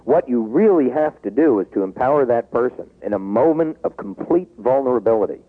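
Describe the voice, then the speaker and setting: A man in his sixties talking in a taped interview, acting out quoted pleas and dropping low when he turns reflective. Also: animated, fairly quick. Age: 60s. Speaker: business author guest. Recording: tinny phone-like tape.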